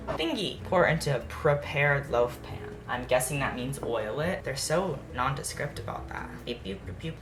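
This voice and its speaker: Late-teen male YouTuber, native Japanese speaker, rambling carefully enunciated chat; echoey kitchen, soft music underneath. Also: a little high. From United States. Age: late teens. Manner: rambling, carefully articulated words. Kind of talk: casual baking vlog chat. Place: home kitchen.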